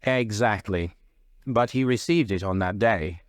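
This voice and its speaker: A man voicing a calm gentleman detective in a classic story, with a bland, polite, precise manner. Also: on the low side, a little slow, dry recording, clean studio sound, lightly acted. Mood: probing.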